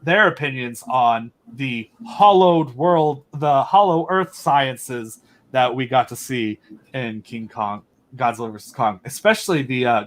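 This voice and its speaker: A man talking casually and enthusiastically as a podcast guest, animated and joking, with wry asides. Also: mid-range voice. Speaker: podcast guest, audio drama creator.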